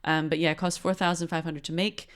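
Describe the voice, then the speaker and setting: A woman's voice: low-pitched, little pitch movement, quick pace, clear, resonant tone. A woman from the United States co-hosting a marketing podcast in a playful, casual chat, laughing often. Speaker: podcast co-host.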